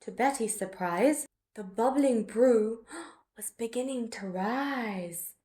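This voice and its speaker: A woman reading a rhyming picture book aloud to children, expressive and dramatic, stretching out key words. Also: fairly high pitch, slow pace. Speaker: children's story reader.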